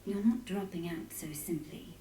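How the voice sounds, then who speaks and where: Mellow, headstrong actress as a woman scholar. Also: fairly low pitch, moderate pace, roomy sound, a little hiss or hum, natural voice, low-quality recording. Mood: eager, insistent. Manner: animated, insistent, inquisitive. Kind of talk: dramatic video game voice acting.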